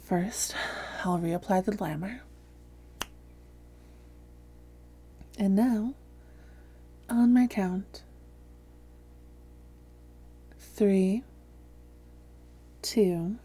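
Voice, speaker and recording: A woman playing a seductive, domineering vampire posing as a therapist in an intimate roleplay audio, speaking softly and deliberately with frequent whispering. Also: mid-range voice. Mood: commanding, hypnotic. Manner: soft, breathy, often whispering, deliberate. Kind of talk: intimate roleplay audio drama, hypnotic delivery.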